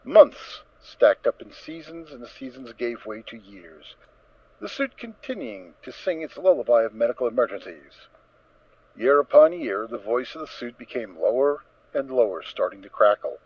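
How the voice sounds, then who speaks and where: A man reads a dramatic story as narrator in a mid-range voice. His phrasing is measured and emphatic. The recording is close and dry, slightly dull and lo-fi. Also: medium pitch, big pitch swings, no room sound.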